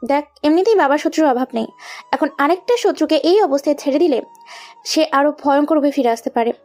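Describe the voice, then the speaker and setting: Woman as a grudging proud girl in her youth, questioning, really high voice, audio drama. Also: fairly quick, a little mellow, close to an everyday voice. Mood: irritated. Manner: questioning, reluctant. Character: proud, grudging young woman, a powerful man's daughter. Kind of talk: Bengali audio story acting. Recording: music under the voice.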